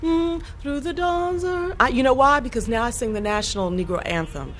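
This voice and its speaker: A woman's voice: sits high, normal speed, a bit bright, a little hiss or hum. A woman in a documentary interview, half-singing and fumbling for the words at times.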